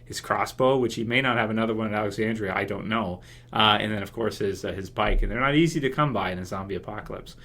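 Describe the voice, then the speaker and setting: A man's voice: lowish voice, fast talker, bright, forceful tone. A man hosting a casual fan commentary video, speculating in a hesitant, think-aloud way. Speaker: YouTube video host.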